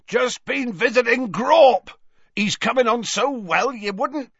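A man voicing an enormous, shaggy, hearty giant of a man, booming and excitable, for a story. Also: slow pace, husky, dry recording, muffled recording, exaggerated voice. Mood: hearty, cheerful.

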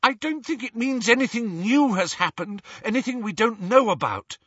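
Guy doing a high tearful teen witch girl, earnest, pleading, sharp edge, kinda muffled. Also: expressive, normal speed, husky, no room sound, exaggerated voice.

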